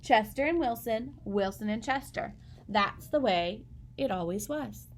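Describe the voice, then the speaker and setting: A woman with a fairly high voice narrates a children's story. She reads expressively, as a storyteller addressing young listeners. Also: lively intonation, a little slow, slight background noise. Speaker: read-aloud storyteller.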